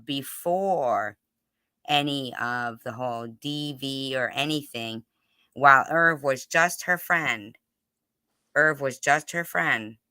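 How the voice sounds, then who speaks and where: Livestream host, clear bright voice, dry close sound, casual but insistent, keeps restarting and repeating the point. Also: mid-range voice, dry recording. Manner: insistent, halting, repeats and circles back to the point. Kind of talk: casual livestream interview chat.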